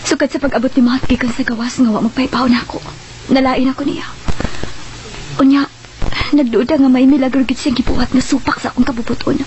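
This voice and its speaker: An actress playing a guarded, troubled woman confiding secrets in a radio drama, deliberate and pleading. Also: high voice, steady tone, lo-fi sound, natural voice. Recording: noisy radio drama track.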